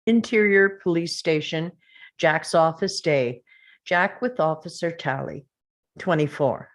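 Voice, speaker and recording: Woman, lowish voice, reading stage directions, measured, video call. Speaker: reader of action and description.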